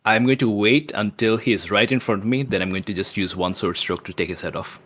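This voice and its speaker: A man's voice: on the low side, fairly quick, fairly bright tone. A man chatting casually as a player in a tabletop roleplaying game, thinking aloud about his moves.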